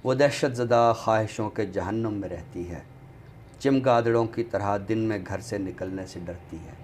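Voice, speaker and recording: Low-voiced man reciting Urdu poetry, noisy recording. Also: moderate pace, darkish tone. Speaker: guest presenter reciting poetry on a literary show. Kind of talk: dramatic Urdu poetry recitation, then book talk. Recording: clearly noisy background ambience.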